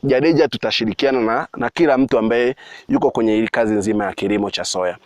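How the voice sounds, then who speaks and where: A man's voice: mid-range voice, fast, darkish tone, no echo. A man from Tanzania speaking for a farm company in a documentary, earnest and persuasive, with small restarts. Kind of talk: documentary address.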